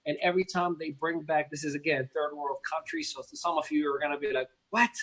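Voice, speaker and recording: A man's voice: a little high, steady tone, fast talker, a bit bright, slightly rough, poor audio. A man in his thirties from Morocco, with a Moroccan accent, telling his life story as an interview guest in an earnest way, often restarting and repeating words.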